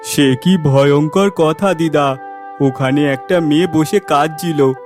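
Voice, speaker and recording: A man voicing a curious, sensible village boy in a cartoon, boyish and expressive. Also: a little high, slow. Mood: alarmed. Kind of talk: cartoon voice acting. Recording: clear music behind the voice.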